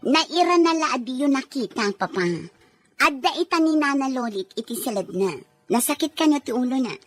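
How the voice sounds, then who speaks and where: Actress as high-voiced Ilocano wife in a drama. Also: female voice, lively intonation, normal speed, faint music behind. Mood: earnest. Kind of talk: serial radio-style drama in Ilocano.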